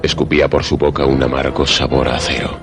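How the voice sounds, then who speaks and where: Very deep, grave horror narrator. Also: male voice, level tone. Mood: ominous, grave. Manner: solemn, dramatic, deliberate phrasing. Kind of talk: suspense and horror radio drama narration. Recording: dramatic music behind the voice.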